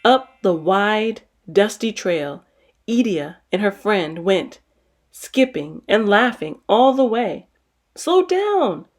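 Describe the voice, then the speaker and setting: A woman's voice: medium pitch, animated, slow pace. A woman narrating a children's picture book as a storytime host, reading expressively.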